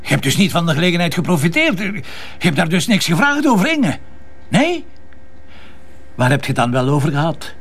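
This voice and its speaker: Stubborn, probing Flemish police inspector for radio drama; creaky voice, swinging pitch, colloquial delivery. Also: male voice, very animated, brisk, pauses often, fairly bright tone, heavily acted. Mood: inquisitive. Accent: Flemish Belgian. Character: stubborn, teasing male Flemish police inspector trusting his intuition. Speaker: actor in a detective audio drama. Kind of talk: naturalistic radio drama dialogue.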